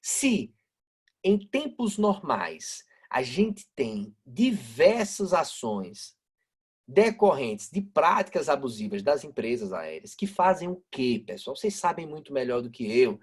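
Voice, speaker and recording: A man's voice: medium pitch, very animated, takes it slowly, breathy edge. A man with a northeastern Brazilian accent from Aracaju, lecturing online as a law professor in a didactic way, asking rhetorical questions and checking in with listeners. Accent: northeastern Brazilian Portuguese, Aracaju. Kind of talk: live online lecture explaining law with example cases. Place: online video-call lecture.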